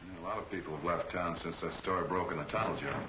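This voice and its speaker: An actor playing a brisk, level-headed newspaper editor with clipped authority in an old crime film serial. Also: male voice, sits low, background noise, natural voice. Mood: brisk, probing.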